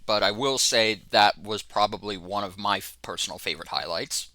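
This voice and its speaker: A man's voice: fairly low pitch, normal speed, sharp tone, no echo. A man co-hosting a casual review chat, talking in an enthusiastic, informal way. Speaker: co-host of a fan review show.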